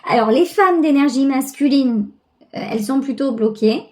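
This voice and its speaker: A woman giving an intuitive tarot reading, speaking directly to the viewer in a halting, reflective, conversational way. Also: high voice, animated, darkish tone. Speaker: tarot reader, channel host.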